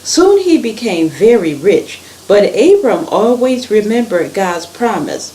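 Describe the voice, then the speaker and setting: A woman reading a children's story aloud in an expressive, deliberate way. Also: medium pitch, low-quality recording.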